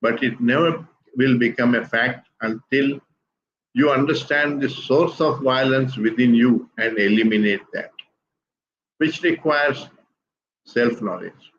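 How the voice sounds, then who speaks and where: An older man speaks English with an Indian accent, calm and deliberate in long explanatory sentences. The stream sounds a little dull and muffled. A faint hiss sits underneath. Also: mid-range voice. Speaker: guest speaker on spiritual teachings. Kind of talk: measured explanatory talk.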